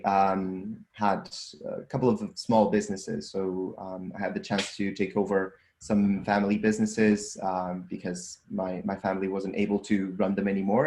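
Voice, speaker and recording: A man's voice: on the low side, darkish tone, echoey room. A young man from Portugal with a Portuguese accent, answering interview questions thoughtfully with frequent ums and restarts. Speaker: guest, data scientist and entrepreneur.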